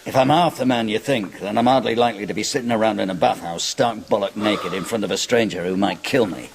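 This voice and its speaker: An actor in a radio drama playing a powerful, coolly menacing Roman kingmaker, measured and sardonic. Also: male voice, lowish voice, gravelly, a little hiss or hum, poor audio. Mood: wry, calculating. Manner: measured, sardonic, veiled threats.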